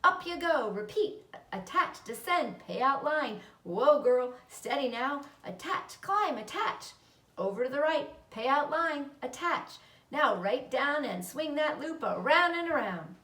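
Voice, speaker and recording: A woman's voice: high-pitched, lively intonation, unhurried, a bit bright, pitched above the performer's own voice. A woman voicing a busy female spider in a storybook, coaching herself with short, encouraging commands. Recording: roomy echo, distant mic.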